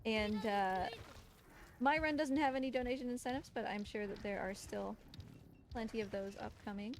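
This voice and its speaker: A woman with a somewhat high voice gives casual live commentary as she plays a game. She speaks in a playful, exasperated way, as if scolding a wayward companion. Clear game ambience and noise sit behind her voice. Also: normal speed. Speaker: speedrunner streaming live for a charity marathon.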